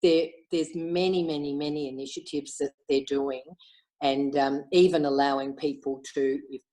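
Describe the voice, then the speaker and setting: Low-voiced older Australian woman, quick and effusive. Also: fairly even intonation, mellow, rough recording. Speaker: interviewee, retired club member. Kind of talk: casual video call conversation.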